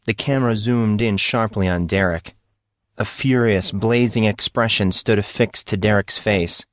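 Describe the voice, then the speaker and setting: A man's voice: lowish voice, normal speed, dry recording, studio quality. A man reading a scripted story aloud as a dramatic audiobook narrator.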